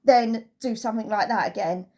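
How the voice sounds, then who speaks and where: A woman's voice: a little high, brisk, darkish tone. A woman giving a casual, chatty holiday review as a travel vlogger, telling it through anecdotes.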